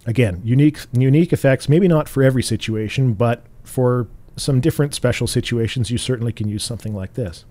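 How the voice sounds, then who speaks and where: A man with a bassy voice walks through software steps in a relaxed, explanatory way, with fillers and restarts. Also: brisk, few pauses. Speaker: tutorial host. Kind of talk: screen-recorded software tutorial.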